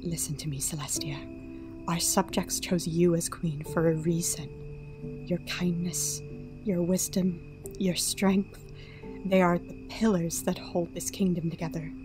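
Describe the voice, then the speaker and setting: A woman playing a solemn, devoted pony princess in a radio drama, with a formal, measured, earnest delivery. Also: fairly low pitch. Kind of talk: dramatic radio play acting.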